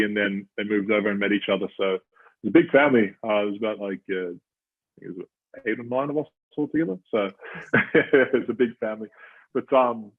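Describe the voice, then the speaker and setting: A thirty-year-old Australian man, a rugby player, talking as an interview guest in a halting way with plenty of fillers. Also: fairly low pitch. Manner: halting, filler-heavy storytelling. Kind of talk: casual interview chat. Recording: tinny phone-like line.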